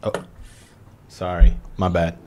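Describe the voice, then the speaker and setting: Young man from Indonesia, streamer host, low voice, slow easygoing delivery. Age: 20s. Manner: relaxed, joking, low-key, trails off quietly. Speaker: livestream host. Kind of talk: casual video chat with strangers. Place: small room with shelves and gaming chair.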